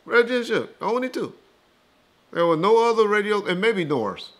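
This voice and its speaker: A man's voice: high voice, sing-song, normal speed, a bit bright. Emphatic man, casual and blunt. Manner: emphatic, dismissive, repeats himself for emphasis. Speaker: interview guest rebutting rumors. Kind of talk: casual, profane opinionated talk.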